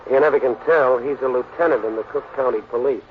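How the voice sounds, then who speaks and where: An actor in a 1940s radio drama playing a wry, sardonic lawyer who also narrates the case. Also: male voice, medium pitch, fast talker, a little hiss or hum, lightly acted. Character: wisecracking male lawyer-detective. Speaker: narrator and lead. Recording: tinny old radio broadcast.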